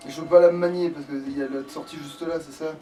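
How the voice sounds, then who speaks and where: A man with a somewhat high voice comments casually on his video game. He grumbles with sarcasm and swears, heard a little away from the mic over faint background noise. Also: steady tone, quick pace, mellow, distant mic. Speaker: let's player.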